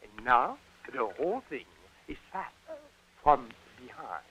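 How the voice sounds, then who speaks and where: A man's voice: medium pitch, very animated, a little slow. A man playing an obsequious, fussy Parisian dancing master with a French accent in an old radio drama, courteous and hesitant. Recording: noisy, dull old radio recording.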